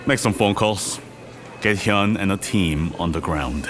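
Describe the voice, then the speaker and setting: A man's voice: low voice, measured pace, background noise. An actor playing a middle-aged businessman uncle, jovial yet ruthless in an audio drama. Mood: grave, resolute. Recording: music behind the voice.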